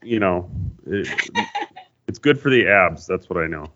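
A man co-hosting a podcast, chiming in with stammering, joking asides. His voice is very low, and the recording sounds somewhat dull. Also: expressive, unhurried, fairly bright tone. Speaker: podcast co-host. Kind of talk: casual interview chat.

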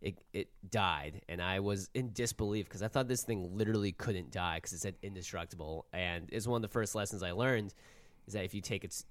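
Male podcast co-host, lowish voice, dry sound, informal and animated storyteller. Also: brisk, no room sound. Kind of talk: casual storytelling chat.

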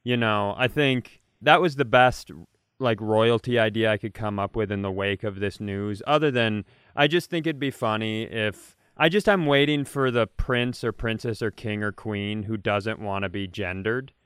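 A man's voice: lowish voice, normal speed, a bit bright, clean tone, dry recording, clean studio sound. A man co-hosting a comedy podcast, chatting casually and rambling enthusiastically through comic ideas.

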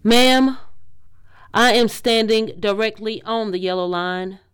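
A woman poet reading her poem aloud in a measured, deliberate way. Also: mid-range voice, smooth, no echo. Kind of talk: poetry reading. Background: music.

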